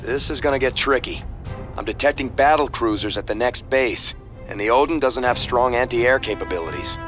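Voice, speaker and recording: An actor playing a determined rebel military leader in a video game, businesslike and confident. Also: male voice, medium pitch, normal speed, a bit bright, close to an everyday voice. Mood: wary. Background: game music.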